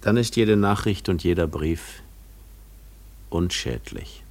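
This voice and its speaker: An actor playing a withdrawn, guarded recluse in a German radio play, speaking in a reticent, contemplative and measured way. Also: male voice, low-pitched, gravelly, a little mellow, full character voice. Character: withdrawn, guarded male recluse shunning the world.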